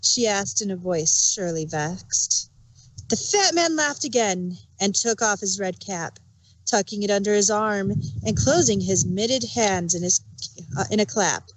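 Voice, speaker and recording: A woman narrator reading a storybook tale in rhyming verse with an expressive read-aloud cadence. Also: mid-range voice, a little slow, no echo, low-quality recording.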